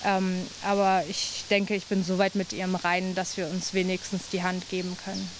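Woman, interviewee, measured, hesitant, flat delivery, hissy recording. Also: lowish voice. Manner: calm, measured, hesitant fillers. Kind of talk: reflective interview answers.

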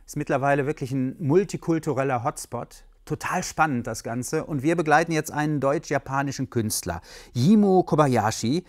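A man's voice: on the high side, moderate pace, hardly any pauses. A man presenting a TV segment, introducing the next report in an upbeat way. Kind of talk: program introduction.

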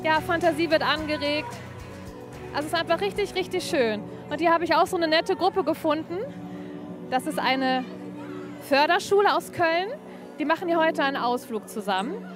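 A woman with a very high, light voice reports for television from inside a museum ball pit. She talks in a chatty way, keeps tossing in little tag questions, and faint music plays behind her. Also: normal speed. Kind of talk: on-location TV report.

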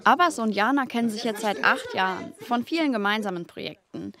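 A woman's voice: a little high, animated, fairly quick, a crowd in the background. Woman narrating a light feature report. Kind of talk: scripted voice-over narration.

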